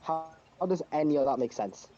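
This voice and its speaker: A man's voice: fairly high pitch, lively intonation, brisk, dark tone. A man giving casual sports opinions as a podcast panelist, making his points with emphasis. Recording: muffled, noisy remote microphone.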